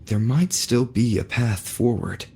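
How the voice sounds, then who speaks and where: A man playing a calm, earnest young wanderer in a video game, speaking in a measured, serious way as if reasoning things out. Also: medium pitch, a little hiss or hum, close to an everyday voice. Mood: pensive, serious.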